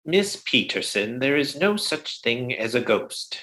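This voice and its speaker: A woman's voice: extremely low pitch, sing-song, unhurried, a bit bright, heavily acted, low-quality recording. A woman playing an exasperated, sarcastic male office boss, blustery and withering, in an old-time radio comedy.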